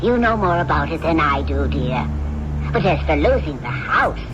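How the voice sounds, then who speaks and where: An actress playing a naive, genteel elderly sister in an old-time radio drama, with a mild and fluttery manner. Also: female voice, sits low, big pitch swings, normal speed. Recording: dull radio drama under loud engine noise.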